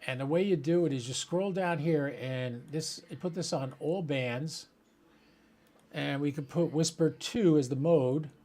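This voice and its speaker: Guy doing a casual live walkthrough for his viewers, voice a bit on the high side, kinda thinking out loud as he clicks around. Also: unhurried. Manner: informal, thinking aloud while working at a computer. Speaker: host of a ham radio channel.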